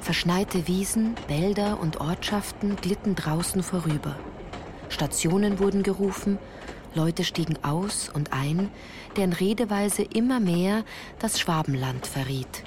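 An actress playing an earnest woman recalling her girlhood in measured, literary radio-play narration. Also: fairly low pitch, noisy background, natural voice. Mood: earnest, reflective.

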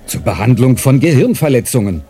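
A man's voice: mid-range voice, very animated, brisk, mellow, soft background music. A man playing a tormented, guarded astronaut trapped in his own time, in a German radio play. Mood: insistent, bitter.

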